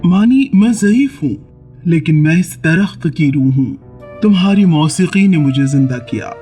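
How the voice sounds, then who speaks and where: Man as a kindly old tree spirit, highish voice. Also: expressive, dark tone, soft background music, lightly acted. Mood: kind, grateful. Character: kindly aged tree spirit, grateful and wise.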